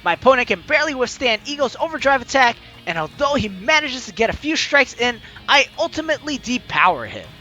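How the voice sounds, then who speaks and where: High, bright, very animated man narrating. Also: a little fast, hardly any pauses, muffled recording. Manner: theatrical, animated delivery. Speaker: let's play host. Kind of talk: reading a visual novel story aloud, dramatic narration. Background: game music.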